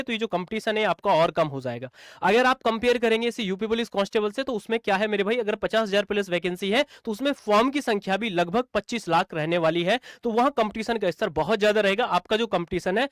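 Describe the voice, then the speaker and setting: Guy, pretty high voice, dry close sound, coaching students on exams, asks his own questions then answers. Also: no echo. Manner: repetitive explanatory phrasing with rhetorical questions. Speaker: exam coaching teacher. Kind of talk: informal exam update explainer addressing students.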